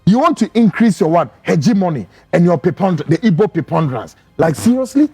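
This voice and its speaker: A man's voice: high-pitched, sing-song, a little fast, darkish tone, husky, faint music behind. A Ghanaian-accented man giving opinionated commentary, emphatic and indignant, full of rhetorical questions.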